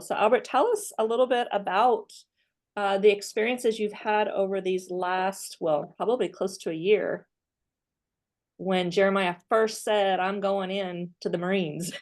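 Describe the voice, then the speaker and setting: A woman's voice: mid-range voice, steady tone, normal speed, darkish tone. Woman hosting a faith podcast. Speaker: podcast host.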